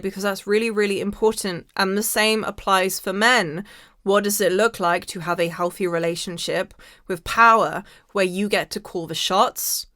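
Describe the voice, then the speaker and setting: Female podcast host, mid pitch, slightly hoarse, emphatic motivational delivery, dry recording. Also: a bit bright, no room sound. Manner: emphatic, repeats key phrases. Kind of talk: motivational spiritual monologue to listeners.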